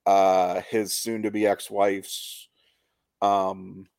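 A man's voice: low-pitched, level tone, very slow, creaky, darkish tone, dry recording. A man co-hosting a podcast, casually analyzing a TV show in a reflective, colloquial way. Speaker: podcast co-host.

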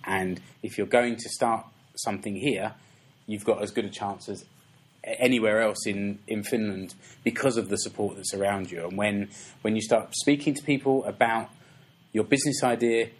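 A man hosting a casual interview podcast, talking in a relaxed way with many restarts and fillers. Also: fairly low pitch, normal speed. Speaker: podcast host.

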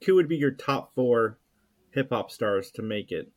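A man's voice: mid-range voice, animated, unhurried. A man chatting casually on a podcast as a guest judge, putting questions to the panel.

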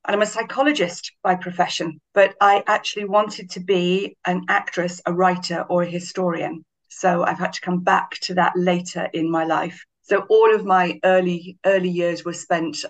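A middle-aged woman, a guest author in a podcast interview, telling her story in a lively, chatty way with frequent restarts. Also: fairly low pitch, low-quality recording. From Wales.

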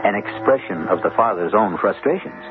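A man hosting a vintage radio drama, narrating in a deliberate, rhetorical, scripted style. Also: fairly low pitch, animated. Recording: narrow-band old radio with music.

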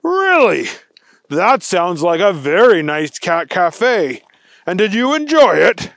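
Guy doing police chief for a kids story, gushy but by the book, highish and lively. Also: takes it slowly, creaky, dry recording, studio quality, exaggerated voice. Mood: delighted. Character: effusive, bureaucratic police chief at the station. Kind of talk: children's storybook performance.

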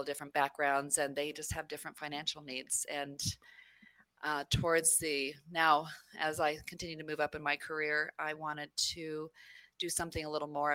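A woman's voice: sits low, sharp tone, no echo. A woman from New Mexico, a credit union CEO, answering interview questions in a rambling way with many fillers.

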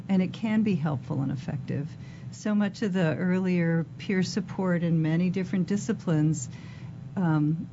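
A woman panelist answering in a measured, deliberate way about community health work. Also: fairly low pitch. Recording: dull lo-fi audio with background hum.

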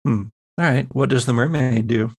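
A man narrating an improvised horror tabletop game as game master, deliberate and descriptive with halting pauses. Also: mid-range voice, darkish tone, slightly rough, no echo, clean studio sound.

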